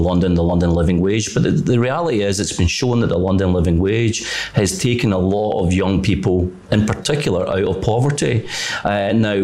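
A middle-aged Scottish man speaks as a radio guest from the education sector. His voice sits fairly low, and he picks his words carefully, hedging and steering back to policy. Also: darkish tone. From Greenock, Scotland. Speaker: further education college leader, guest. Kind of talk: radio interview answers.

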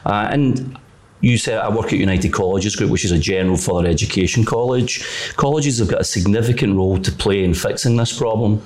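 A middle-aged Scottish man speaks as a radio guest from the education sector. His voice sits fairly low, and he picks his words carefully, hedging and steering back to policy. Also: a little fast, darkish tone. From Greenock, Scotland. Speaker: further education college leader, guest. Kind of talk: radio interview answers.

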